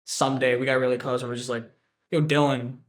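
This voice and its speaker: A man's voice: medium pitch, flat delivery, quick pace. A young man from Japan speaking in a documentary interview as a tournament organizer, loose, rambling and jokey.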